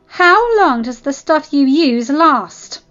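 A woman's voice: sits high, normal speed, fairly bright tone, lo-fi sound, faint music behind, voice pushed up. A woman voicing a tough young female gang leader with a firm, commanding manner in a narrated story. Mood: angry, challenging.

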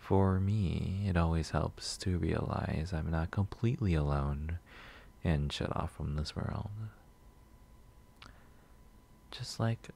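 A man playing a shy, sweet, nervous coffee shop regular in a soft-spoken ASMR roleplay, gentle, hesitant and rambling. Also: extremely low pitch, creaky, slightly rough, full character voice. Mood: calm, musing. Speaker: roleplay audio performer.

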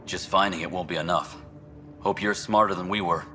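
A man's voice: low voice, steady tone, fairly quick, sharp tone, faint music behind. A man playing a world-weary outpost scientist in a video game, blunt, informal and wry. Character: gruff, world-weary male outpost scientist giving practical advice. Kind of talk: scripted video game dialogue.

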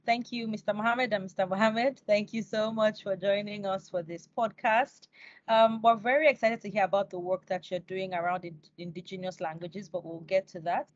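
A woman's voice: mid-range voice, fairly even intonation, normal speed, no echo, lo-fi sound. A young woman hosting an interview podcast over a video call. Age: young adult. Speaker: podcast host. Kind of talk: scripted introduction and interview questions.

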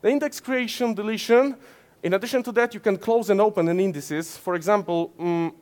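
A man's voice: high-pitched, a little fast, a little mellow. A man in his thirties from Poland with a Polish accent, giving a technical conference talk with many fillers and restarts. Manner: many fillers and self-corrections, gesturing while explaining. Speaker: conference speaker. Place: conference stage in front of slides, indoors.

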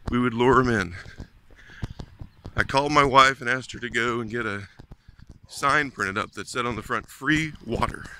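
A man's voice: mid-range voice, bright, forceful tone, a little hiss or hum, rough recording. A man, telling stories in a casual, earnest way as he walks and films himself outdoors on a suburban street. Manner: conversational, earnest, storytelling. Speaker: ministry vlogger. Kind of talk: casual walking vlog. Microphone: phone at arm's length while walking.

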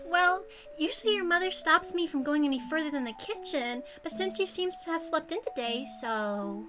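A woman's voice: sits high, animated, normal speed. A woman voicing a cute, pushy teenage girlfriend in a visual novel, sweet and insistent, with scheming undertones. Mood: sweetly pushy. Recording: music playing behind.

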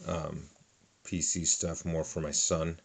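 A middle-aged man calmly listing sports cards one by one at a table, with a lot of fillers. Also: extremely low pitch, slow, vocal fry, husky. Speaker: card collector. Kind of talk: casual card unboxing narration. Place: indoors at a table.

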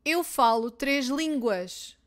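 A woman with a European Portuguese accent drills beginner phrases, saying each one twice. Her voice sits high, and she speaks slowly and carefully, giving every word its space. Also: expressive. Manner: reads each line twice, clearly and deliberately. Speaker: Portuguese teacher. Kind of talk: slow repeated phrase drills for learners.

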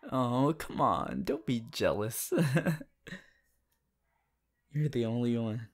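Romantic roleplay lead: bashful, devoted teen boy hero played by a man, mid-pitched, unhurried, stammering delivery. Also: lively intonation. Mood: sheepish, flustered. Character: shy, devoted, flustered teenage boy hero. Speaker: voice actor. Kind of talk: romantic roleplay audio, intimate fan performance.

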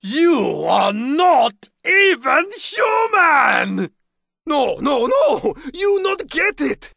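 Vengeful mad chef for a comedy game: high, piercing and sharp, gravelly edges, menacing rants in broken English, big theatrical delivery. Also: male voice, no room sound, clean studio sound, heavily acted. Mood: frantic, indignant. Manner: melodramatic, ranting, menacing, broken clipped English. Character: crazed, vengeful chef obsessed with exposing an octopus. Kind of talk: over-the-top comedic video game villain acting.

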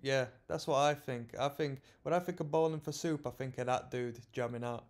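A young man in his twenties hosting a music reaction, talking in a relaxed, rambling, slightly sleepy way from a small room. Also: medium pitch, a little fast. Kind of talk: casual music reaction commentary. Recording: song playing faintly behind.

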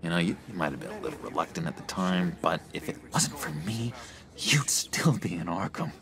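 An actor playing an eager, unhinged young schemer in a video game, halting and wheedling with sinister warmth. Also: male voice, sits low, lively intonation, crowd noise. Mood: insinuating, needling. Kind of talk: video game character acting.

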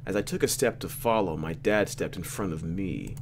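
A man's voice: sits low, normal speed, a little hiss or hum. A man reading a game aloud as a host, with casual narration and slangy, mock-exasperated asides. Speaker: let's play host reading a visual novel aloud. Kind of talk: casual gameplay commentary and read-aloud narration.

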